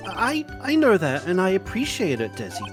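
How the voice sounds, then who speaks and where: A woman's voice: mid-range voice, wildly swooping pitch, normal speed, music in the background. A woman voicing a gloomy, timid young man in a game fan dub, hesitant and stammering. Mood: timid, miserable. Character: gloomy, timid young man who insists he's a thief.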